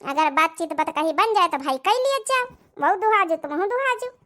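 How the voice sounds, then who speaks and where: Cartoon sister-in-law played by a woman, married villager, matter-of-fact and persuasive, extremely high voice, Awadhi accent. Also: expressive, normal speed, bright, forceful tone, heavily acted. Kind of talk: dramatic cartoon dialogue in dialect.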